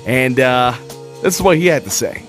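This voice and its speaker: A man hosting a radio show in a casual, joking and excitable way. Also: mid-range voice, moderate pace, over loud music. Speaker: radio host.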